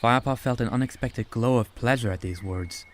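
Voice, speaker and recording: A man's voice: medium pitch, a little fast, slight background noise. A man reading scripted story narration as an audiobook narrator.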